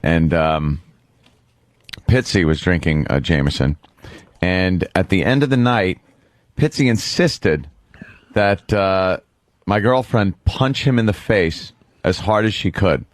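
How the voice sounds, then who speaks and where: A man's voice: low-pitched, takes it slowly, pauses often, dry recording. A man co-hosting a radio show, telling stories in casual, comic banter with salty language.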